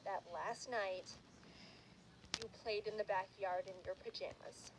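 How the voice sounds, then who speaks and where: A stern, accusing parent, played by a woman scolds in a lowered, subdued voice. The delivery is measured and disapproving, part of a comic story, with loud noise behind it. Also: fairly even intonation, lightly acted.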